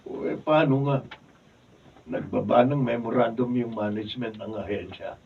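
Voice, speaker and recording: A man's voice: lowish voice, normal speed, gravelly. Worn-down Filipino labourer, around fifty, played by a man, aggrieved and plaintive, off-mic sound with faint noise. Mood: distressed, pleading. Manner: plaintive, grumbling. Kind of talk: Filipino radio drama acting. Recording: distant, dull, faintly noisy studio recording.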